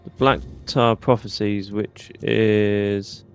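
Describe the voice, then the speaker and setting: Gravelly low-voiced British man gaming. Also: fairly even intonation, takes it slowly, faint music behind. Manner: chatty, musing to himself, informal. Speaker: gaming host. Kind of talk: casual gameplay commentary.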